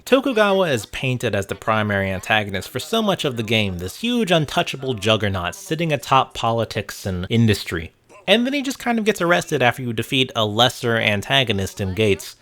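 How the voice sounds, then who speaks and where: A man's voice: mid-range voice, wildly swooping pitch, brisk, fairly bright tone. A man narrating a casual video game essay with wry, sarcastic commentary and casual swearing. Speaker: host of a video game review channel.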